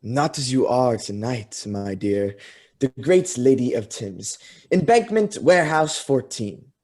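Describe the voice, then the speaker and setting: Grand announcing, man acting jovial party host, showy proud husband, very animated pitch, radio play. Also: measured pace.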